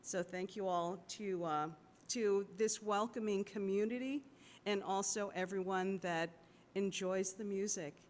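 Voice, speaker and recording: A woman whose first language was not English, giving an unscripted thank-you speech in church that halts often. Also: a little mellow. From United States. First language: Cantonese or Malay family language. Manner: halting, with many fillers and restarts. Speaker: church donor and board host. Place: large sanctuary. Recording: long hall reverb with faint rumble.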